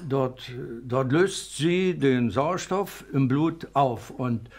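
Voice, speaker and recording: Slow, solemn village butcher. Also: male voice, mid-range voice. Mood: earnest, testifying. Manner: deliberate, solemn, halting. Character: seasoned village butcher, earnest, ponderous amateur pupil. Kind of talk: German radio drama acting.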